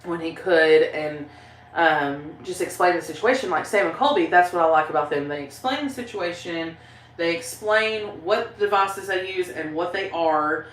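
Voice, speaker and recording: A woman's voice: on the low side, normal speed, fairly bright tone, reverberant, slight background noise, rough recording. A woman with a Southern Kentucky accent chatting casually on a livestream, rambling with lots of fillers and laughing often. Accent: Southern American (Kentucky). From southeastern Kentucky, USA. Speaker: paranormal channel host reading live chat.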